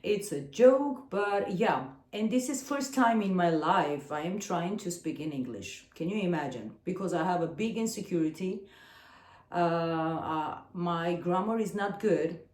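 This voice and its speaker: A woman in her forties from Armenia, with an Armenian accent and Armenian as her first language, talking to the camera in a personal vlog, pausing often and searching for her words. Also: fairly low pitch, animated, darkish tone, reverberant. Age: 40s. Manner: hesitant, searching for words, frequent fillers. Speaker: singer and channel host.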